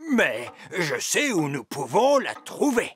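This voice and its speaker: A man's voice: fairly high pitch, huge pitch swings, slow pace, a bit bright, slight background noise, heavily acted. A man voicing a fussy, bluffing old wise elf in a cartoon dub, hesitating and explaining.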